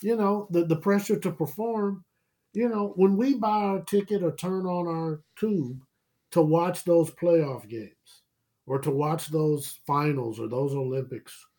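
A man's voice: fairly high pitch, unhurried, mellow. A man, chatting casually about sports, rambling and laughing often. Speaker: podcast guest.

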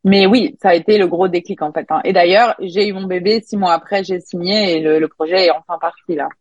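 A woman's voice: fairly low pitch, steady tone, brisk, darkish tone, dry recording. Chatty woman singer on a lo-fi line. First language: French. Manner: chatty, many restarts and fillers. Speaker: singer and songwriter. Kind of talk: casual interview chat.

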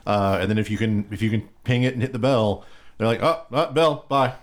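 A man's voice: on the low side, brisk. A man co-hosting a podcast, chatting casually about games, rambling with many restarts and fillers. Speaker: podcast co-host. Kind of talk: casual chat.

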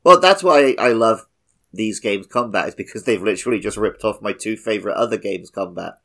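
A man's voice: on the low side, brisk, gravelly, a bit bright. A man co-hosting a game podcast, chatting casually, laughing often and trailing off mid-sentence. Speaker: podcast co-host. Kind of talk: casual chat about a video game.

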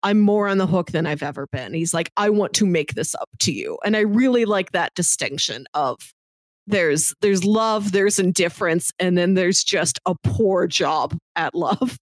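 A woman's voice: lowish voice, moderate pace, no room sound. A woman co-hosting a podcast, talking casually and conversationally about film. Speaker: podcast co-host. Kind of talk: casual film discussion chat.

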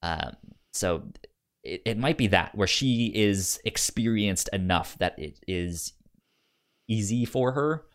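A man chats casually as a podcast co-host about something he has read. His delivery is slow and halting, with sounds and words often repeated. His pitch swings in a lively, varied way. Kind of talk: casual book discussion.

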